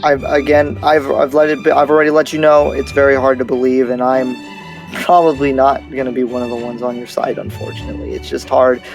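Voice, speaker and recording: A man's voice: medium pitch, little pitch movement, brisk, soft background music, lightly acted, poor audio. A man playing a blunt, skeptical, battle-worn demon slayer in an improvised anime game roleplay, speaking frankly and emphatically with repeated, restarted phrases.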